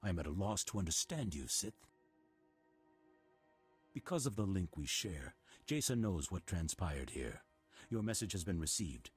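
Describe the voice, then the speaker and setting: Grave old-school jedi master for a game, really low husky voice, solemn n formal, music behind. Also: male voice, very animated, moderate pace, long pauses, full character voice. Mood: calm resignation. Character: grave, self-sacrificing male Jedi master. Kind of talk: scripted video game acting.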